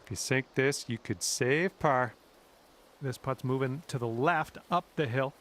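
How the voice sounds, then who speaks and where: A man's voice: medium pitch, unhurried, fairly bright tone. A man giving informal, colloquial golf commentary in the style of a sports video game. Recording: clear background noise.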